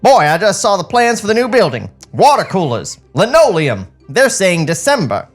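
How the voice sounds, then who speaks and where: Man putting on an excitable animator, raised pitch. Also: normal speed, faint music behind, full character voice. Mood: excited. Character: excitable male animator in comedy script.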